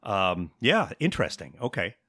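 A man hosting a podcast in casual conversation, playful and quick with sarcastic jokes. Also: on the low side, very animated, a bit bright, breathy edge. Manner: joking, playful, sarcastic asides. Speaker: podcast host.

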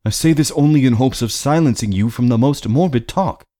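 A man's voice: medium pitch, brisk, mellow, pitched above the performer's own voice, close to an everyday voice. A man voicing a loving young husband who speaks tenderly and reassuringly to his wife.

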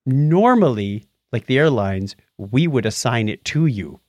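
A man's voice: mid-range voice, expressive, a little slow, darkish tone, no echo. A man co-hosting a casual show, bantering in a jokey, chatty way through news items and announcements. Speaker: podcast co-host.

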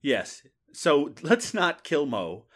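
A man's voice: fairly high pitch, sing-song, takes it slowly, fairly bright tone. A man co-hosting a casual podcast, reviewing a horror film with wry jokes, swearing and frequent laughs. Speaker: podcast co-host. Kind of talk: casual movie review chat.

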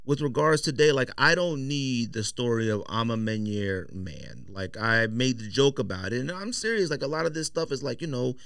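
A man's voice: medium pitch, lively intonation, normal speed, creaky, few pauses, fairly bright tone, dry recording. A middle-aged American man in slangy, casual conversation, opinionated and emphatic, as a podcast host in a studio. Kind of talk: casual chat about sneakers, slangy.

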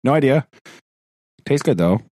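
A man co-hosting a casual podcast, talking in a loose, rambling way full of fillers and quick laughs. His voice sits in a middle pitch, recorded close and dry, and he clears his throat from time to time. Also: expressive, mellow, dry recording. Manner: chatty, rambling, laughs often, many fillers. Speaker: podcast co-host, teacher.

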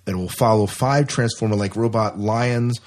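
A man's voice: lowish voice, fairly even intonation, moderate pace, darkish tone. A man co-hosting a podcast, chatting casually about news and repeating himself for emphasis. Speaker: podcast co-host.

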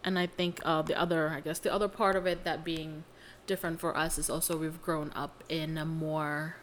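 A woman's voice: sits low, level tone, normal speed, slight background noise. A young woman co-hosting a podcast in casual conversation, with an informal, playful, self-deprecating way of talking. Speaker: podcast co-host. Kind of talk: casual chat.

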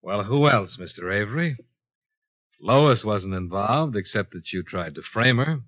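Dry shrewd radio private eye, hard-boiled and deliberate, dull old 50s recording. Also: male voice, on the low side, lively intonation. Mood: coolly accusing. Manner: dry, matter-of-fact, deliberate. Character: cool, shrewd male insurance investigator, hard-boiled and unhurried. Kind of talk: 1950s radio detective acting.

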